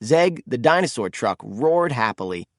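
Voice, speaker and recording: A man narrating a children's storybook in an expressive, animated read-aloud style. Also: mid-range voice, normal speed, no room sound, studio quality.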